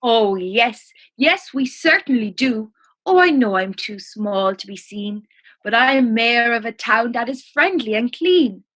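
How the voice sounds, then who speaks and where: A tiny town mayor from a children's storybook, acted out by a woman. The voice is high and husky, with an earnest, polite and grateful manner. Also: expressive, a little slow, fairly bright tone. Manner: earnest, polite rhyming speech. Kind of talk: children's storybook read-aloud.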